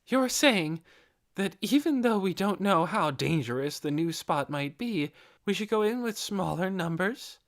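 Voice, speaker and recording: A man voicing a bitter, guarded female lizard-person leader in a fantasy audiobook, speaking with soft, hesitant emotion. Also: sits high, lively intonation, a little slow, pitched above the performer's own voice. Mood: doubtful, challenging.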